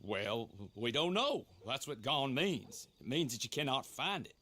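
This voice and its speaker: A man's voice: medium pitch, very animated, a little slow, sharp tone, heavily acted. An actor playing an earnest, literal-minded, hesitant male ranch hand in a video game. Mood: flustered. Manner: hesitant, plodding logic. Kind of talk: video game character acting.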